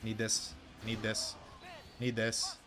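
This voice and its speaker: A young man rambling through casual gameplay commentary as a game streamer, swearing when frustrated, his voice going hoarse. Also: on the low side, completely flat delivery, slow, music in the background. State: losing his voice.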